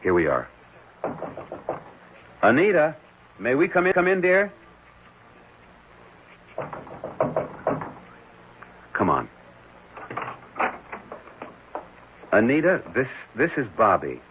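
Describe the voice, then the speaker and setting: Adoptive dad: middle-aged, gentle, expressive intonation, patient reassurance; muffled vintage radio sound. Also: male voice, mid-range voice, normal speed. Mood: gentle, uneasy. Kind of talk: 1970s radio drama acting. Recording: muffled, noisy 1970s radio recording.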